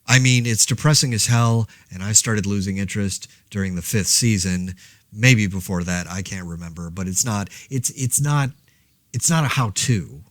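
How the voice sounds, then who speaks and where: Male actor as wry, hesitant survivor-podcaster, low voice, dry sound, deadpan casual monologue. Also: normal speed, no room sound, close to an everyday voice. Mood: musing, deadpan. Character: laid-back, rambling man podcasting alone through a zombie outbreak. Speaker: podcast host. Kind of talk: casual monologue, fictional audio diary.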